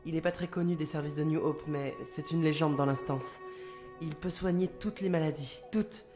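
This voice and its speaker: A woman playing a composed telepath consultant in an audio drama, calm, direct and businesslike. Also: deep voice, moderate pace, music in the background, natural voice. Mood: calm, respectful.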